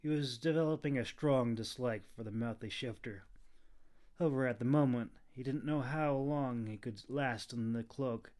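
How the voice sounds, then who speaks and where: A man's voice: mid-range voice, normal speed, darkish tone. Man dramatically narrating a fantasy audiobook. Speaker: author narrating his own audiobook.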